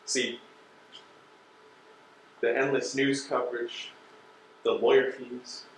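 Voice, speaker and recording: An actor playing a pressuring, sarcastic postal inspector on stage, questioning a suspect in a deliberate, insinuating manner. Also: male voice, mid-range voice, little pitch movement, a little hiss or hum, close to an everyday voice. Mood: coldly threatening. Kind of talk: stage play acting. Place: theater stage. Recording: very echoey stage, distant microphone.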